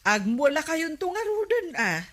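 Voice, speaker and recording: A woman with an Ilocano accent playing a reproachful, no-nonsense village woman in a radio comedy drama, questioning in a deliberate way. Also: high voice, very animated.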